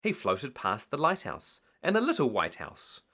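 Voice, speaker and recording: A man reading a children's bedtime story aloud in an animated storytelling style. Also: medium pitch, moderate pace, creaky.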